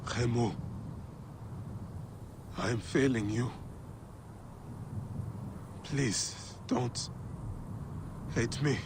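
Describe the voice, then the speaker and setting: Slow, brooding ancient Egyptian warrior. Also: male voice, mid-range voice. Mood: anguished, solemn. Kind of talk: dramatic video game acting. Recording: clearly noisy ambient game soundtrack.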